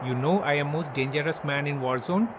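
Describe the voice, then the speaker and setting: A man's voice: brisk, background noise, natural voice. A man playing a boastful, crude male wrestler with a Bangladeshi English accent, speaking broken English in a taunting wrestling promo. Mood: mocking. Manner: broken English, taunting, swings from yelling to low purring. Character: boastful male wrestler, self-proclaimed king, brash and crude.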